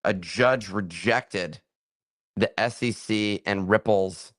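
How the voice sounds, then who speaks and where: Man, low voice, dry teasing co-host, close dry sound, casual chat. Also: slow, fairly bright tone, no echo. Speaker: podcast co-host. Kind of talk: casual podcast banter.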